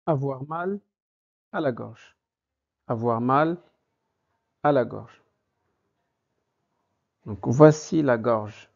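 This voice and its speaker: A man teaching a vocabulary lesson, speaking deliberately and repeating each phrase for learners. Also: medium pitch, gravelly. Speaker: French teacher. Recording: dull lo-fi recording.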